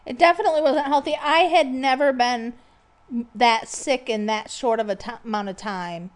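A woman's voice: high-pitched, normal speed. A woman co-hosting a casual podcast, asking questions and correcting and explaining her partner's answers. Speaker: podcast co-host. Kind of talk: casual chat.

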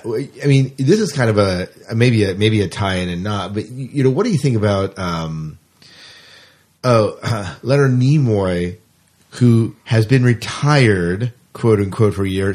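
Low, husky male podcast host. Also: expressive, a little slow, darkish tone. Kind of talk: casual chat, asking guests about sci-fi news.

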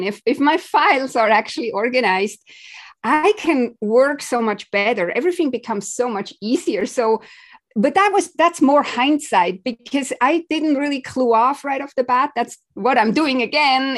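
Female guest from Switzerland; high-ish voice, halting, chatty delivery. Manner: halting, with restarts and small laughs. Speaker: podcast guest. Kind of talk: casual interview talk.

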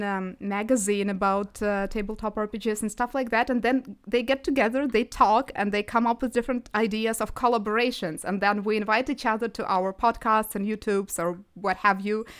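Smooth-voiced woman guest. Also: fairly high pitch. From Crimea, Ukraine. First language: Russian. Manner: animated storytelling, frequent hesitations. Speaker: podcast guest. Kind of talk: casual interview chat.

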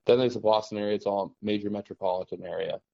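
A man's voice: fairly low pitch, fast, vocal fry, dry recording, dull audio. A man from western Massachusetts, chatting casually as a podcast host with lots of fillers. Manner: relaxed, rambling, many "like" and "you know" fillers. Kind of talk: casual conversation about trapping.

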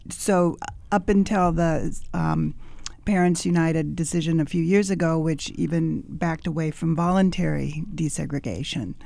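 A woman speaking as a radio panel guest, explaining in a measured way and clearing her throat. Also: deep voice, warm tone, no room sound. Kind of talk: casual discussion.

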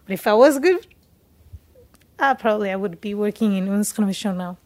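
A young woman from Portugal with a Portuguese accent, a native Portuguese speaker telling her story in an interview, often pausing to search for words in English. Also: mid-range voice, expressive, darkish tone. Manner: hesitant, searching for words in second-language English. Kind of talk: casual personal storytelling in an interview.

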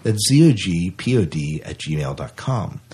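Low-voiced, husky man co-hosting a podcast. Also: sing-song, a little slow, mellow. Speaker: podcast co-host. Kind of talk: casual podcast chat.

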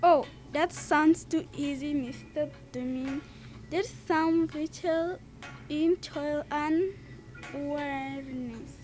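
A teenage girl playing an idealistic young lady in an amateur radio play, with a deliberate, stumbling delivery. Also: high voice, a little mellow. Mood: doubtful. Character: idealistic young lady pleading for robots' welfare.

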